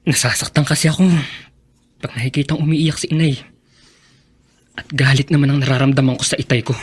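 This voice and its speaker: A man acting a caring, earnest young college son who is quietly hurt, in a Filipino radio drama with a Filipino accent. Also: medium pitch, steady tone, fairly quick, husky, lightly acted. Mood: firm, hurt. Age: young adult.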